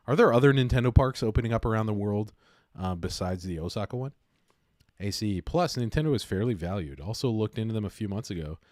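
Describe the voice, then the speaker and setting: Man, low-pitched voice, dry close sound, informal livestream host, conversational. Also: a little mellow, no echo. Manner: informal, conversational, thinking aloud. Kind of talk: casual livestream chat about investing.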